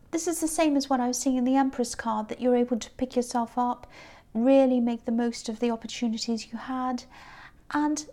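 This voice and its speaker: A woman giving a tarot reading off the cuff, hesitant with many ums and restarts, her voice a little croaky. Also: sits high, a little mellow. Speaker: tarot reader. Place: indoors at a tabletop card spread.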